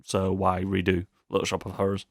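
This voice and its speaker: Low-voiced British man, casual podcast co-host. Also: completely flat delivery, a little fast, no room sound, clean studio sound. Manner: joking, playful asides. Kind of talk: casual film news chat.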